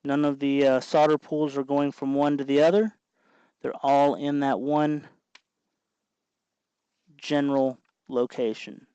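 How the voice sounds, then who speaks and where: A man with a medium-pitched voice talks through a hands-on task as a tutorial host. His delivery is informal and instructive, as if half talking to himself while he works. The sound is dry, with very little room echo. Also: level tone, unhurried, long pauses, mellow, clear, resonant tone, muffled recording. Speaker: host of a DIY electronics tutorial.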